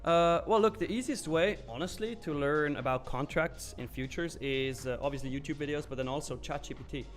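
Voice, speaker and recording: A man hosts a live stream, answering viewers in a loose, candid way with plenty of fillers. His voice sits a little on the high side, and faint music plays softly beneath it. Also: a little fast, few pauses. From Switzerland. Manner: loose and candid, with frequent fillers and some mild swearing. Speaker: live trading stream host. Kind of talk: casual live-stream chat, reading and answering viewer comments.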